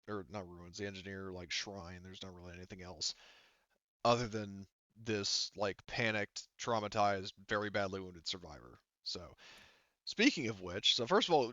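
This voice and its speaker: A man running a tabletop roleplaying game as game master, improvising casual narration in a halting, self-correcting way with many fillers. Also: fairly low pitch, a bit bright, no echo, lo-fi sound.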